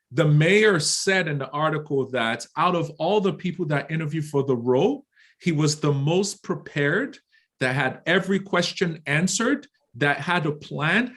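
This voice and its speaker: A man's voice: fairly high pitch, a bit bright. A man speaking as a panel guest, retelling something he read with enthusiasm. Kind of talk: casual panel discussion. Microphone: video call.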